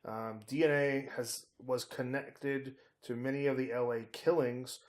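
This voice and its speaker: A man's voice: mid-range voice, little pitch movement, unhurried. A man co-hosting a casual true-crime podcast, talking conversationally and often groping for words and names. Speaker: podcast co-host.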